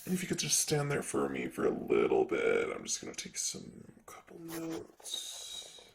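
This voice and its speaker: A man's voice: on the high side, wildly swooping pitch, moderate pace, vocal fry, a little hiss or hum, exaggerated voice. A man playing a sassy, vain, flirty ancient demon secretary in an improvised ASMR roleplay, with a teasing, casual manner. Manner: teasing, casual, drawling asides.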